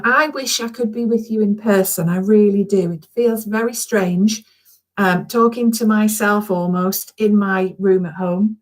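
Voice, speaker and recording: A woman's voice: medium pitch, low-quality recording. A woman who is a children's author, chatting informally and encouragingly to young schoolchildren from a room at home, often checking in with little questions. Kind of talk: chatty, informal live video address to schoolchildren.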